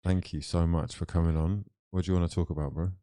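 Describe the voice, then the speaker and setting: A man with a low, dark voice hosts a live football stream, trading sarcastic, swear-laden banter with callers. The sound is dry and close to the mic. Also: fairly even intonation, fast, no room sound. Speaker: watchalong stream host. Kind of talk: casual chat with callers.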